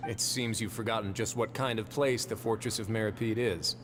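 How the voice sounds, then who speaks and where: An actor playing a measured, wry and authoritative prison warden in a video game. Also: male voice, on the low side, fairly even intonation, slight background noise, natural voice. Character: composed, shrewd adult male prison fortress warden. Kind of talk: video game dialogue acting.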